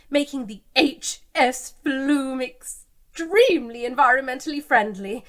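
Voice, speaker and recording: An actress playing a breezy, evasive company spokeswoman in a satirical mock news interview, airy and confident as she glosses over problems. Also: high voice. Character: breezy, evasive female company spokeswoman pitching an absurd scheme.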